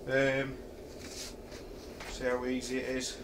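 A man's voice: mid-range voice, completely flat delivery, takes it slowly, background noise. A middle-aged man with a Yorkshire accent casually reviewing a camping chair in his kitchen, hesitating and thinking aloud with many ums. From Yorkshire, England. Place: home kitchen, indoors. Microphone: camera a few feet away.

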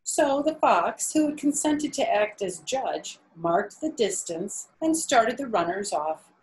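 A woman's voice: mid-range voice, animated, takes it slowly, low-quality recording. Middle-aged woman hosting children's storytime. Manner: simple explanations with questions to young listeners. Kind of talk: reading and explaining a fable to children. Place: indoors, small living room on a sofa.

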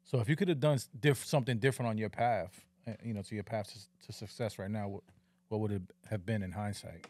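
Quick, low-voiced male podcast host. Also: a little mellow, no room sound. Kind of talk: casual interview chat. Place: small indoor podcast studio. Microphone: studio mic on boom arm.

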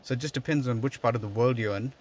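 A man's voice: medium pitch, fast talker. A man hosting a how-to video, explaining things step by step in a casual, conversational way inside a parked car. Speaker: video host giving a tutorial.

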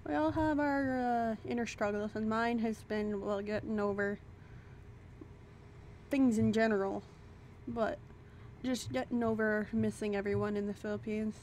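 A woman's voice: on the high side, fairly even intonation, unhurried, long pauses, a little mellow, a little hiss or hum. A woman chatting casually to her viewers while playing a building game, talking to herself as she sorts her items. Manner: chatty, self-deprecating, talks to herself while sorting items. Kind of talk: casual gameplay chatter to the camera. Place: home desk. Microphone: desk microphone at a computer.

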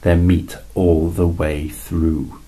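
A man playing a weary, deadpan alien envoy. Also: low-pitched, slow, breathy edge, heavily acted, low-quality recording. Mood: dry. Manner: dry, exasperated explaining with sudden emphatic rises. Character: weary alien male envoy, dry and matter-of-fact. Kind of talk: two-voice reading of a science fiction story.

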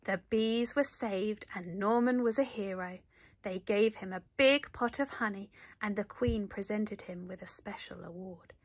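A woman reading a children's story aloud in an animated way, with dramatic emphasis. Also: medium pitch, a little slow. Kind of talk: expressive picture-book read-aloud.

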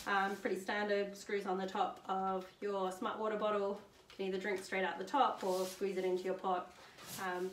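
Chatty woman, roomy distant mic. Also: mid-range voice. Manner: chatty, upbeat, many "um"s, holds up items while talking. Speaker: hiker presenting her gear list. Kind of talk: casual gear walkthrough. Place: living room at home, indoors. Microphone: camera standing a few metres away. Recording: roomy, distant camera mic, faint hiss.

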